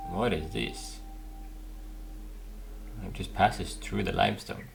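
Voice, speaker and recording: Low, creaky-voiced man thinking aloud. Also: sing-song, slow pace, a little hiss or hum. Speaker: gaming video host. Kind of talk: casual playthrough commentary.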